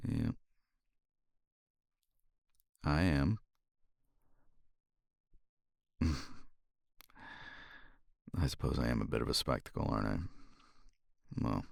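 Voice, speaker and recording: A man's voice: very deep voice, creaky, no room sound, studio quality, exaggerated voice. A man playing a cocky, weary champion competitor in an intimate romance ASMR roleplay, flirtatious and teasing, with languid sighs and murmured asides. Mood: relaxed, weary, then wry, weary.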